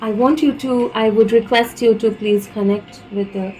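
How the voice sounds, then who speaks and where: A woman's voice: on the high side, steady tone, moderate pace, dark tone, poor audio. A woman from India with an Indian accent, giving a channelled tarot reading and speaking hesitantly, with many fillers and restarts. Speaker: tarot reader.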